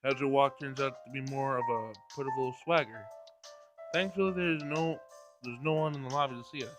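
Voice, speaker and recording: A man's voice: mid-range voice, fairly quick. A man reading visual novel narration aloud as he plays, stumbling over words now and then. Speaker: gameplay streamer reading aloud. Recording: game music behind. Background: game music.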